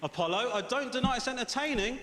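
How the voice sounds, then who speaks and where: A man with a British accent doing a stand-up comedy set on a theatre stage, telling stories in a dry, deadpan way. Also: extremely high pitch, fast talker, a little hiss or hum. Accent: British English. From Sri Lankan heritage. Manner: dry, deadpan storytelling with lively swings. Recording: roomy theatre with loud audience laughter. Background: audience laughing.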